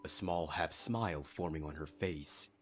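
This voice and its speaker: A man's voice: a bass voice, a little slow. A man reading a dramatic scripted story as a fan fiction narrator. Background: faint music.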